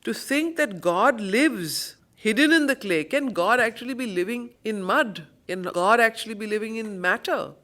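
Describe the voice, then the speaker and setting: Female study-circle teacher, emphatic and deliberate, reciting poetry and glossing it. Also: a little high, lively intonation, a bit bright. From India. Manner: deliberate, emphatic, repeats phrases to explain them. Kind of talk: spiritual poetry reading with explanatory commentary.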